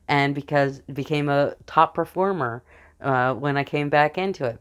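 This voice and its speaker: An American woman talks through a political platform from her notes. Her voice is smooth and clear, and she explains each point earnestly in an informal speech style. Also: a bass voice. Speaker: speaker announcing a political platform.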